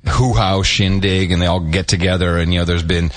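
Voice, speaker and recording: Rambling, low-voiced middle-aged man. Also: a little fast. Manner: rambling, animated, many restarts. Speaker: podcast co-host. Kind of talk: casual chat.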